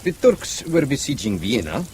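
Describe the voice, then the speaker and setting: A man's voice: mid-range voice, sing-song, normal speed, darkish tone, close to an everyday voice. An actor playing a polite, deferential male escort, speaking courteously and formally in a radio drama.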